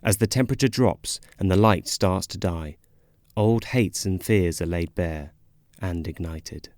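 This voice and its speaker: A man's voice: sits low, a little slow, darkish tone, no room sound, clean studio sound. A man reading scripted, dramatic promo narration for a stage show. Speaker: promo voice-over.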